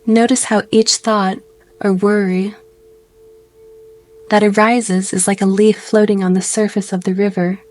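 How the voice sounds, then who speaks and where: A woman guiding a meditation in a gentle, soothing way, speaking in slow phrases with pauses. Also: mid-range voice, flat delivery. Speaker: meditation guide. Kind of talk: guided meditation narration.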